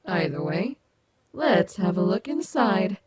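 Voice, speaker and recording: A woman's voice: medium pitch, big pitch swings, slow pace, darkish tone, a little hiss or hum. A woman playing a cocky but caring animatronic wolf rock star in an ASMR audio roleplay, teasing and affectionate. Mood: curious, haughty.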